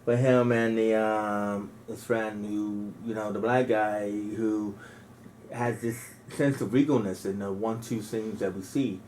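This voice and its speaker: Low-voiced man prompting a film chat. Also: steady tone, unhurried, a little mellow, a little hiss or hum. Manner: prompting questions, frequent fillers. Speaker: co-host. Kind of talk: casual film discussion.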